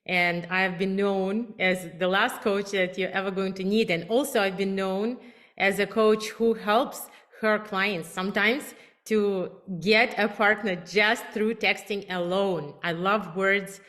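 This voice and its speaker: A relationship coach speaks with a clear Russian accent, her voice sitting at a middle pitch for a woman. She talks with eager emphasis, selling her methods directly to the viewer. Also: steady tone, measured pace, fairly bright tone. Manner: emphatic, enthusiastic, self-promoting. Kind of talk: direct-to-camera advice talk.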